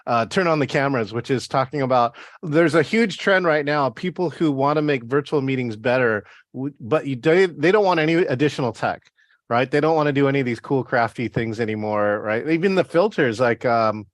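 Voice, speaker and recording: A man hosting and chatting casually about writing books, explaining in long relaxed runs and checking in with "right?". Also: medium pitch, fairly quick, no room sound, clean studio sound. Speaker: host and author. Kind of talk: casual interview chat. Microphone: video call.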